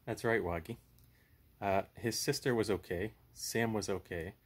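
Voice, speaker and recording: Low, husky man reading bedtime horror. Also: unhurried. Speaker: puppet show host and storyteller. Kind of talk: horror story read-aloud with casual chat.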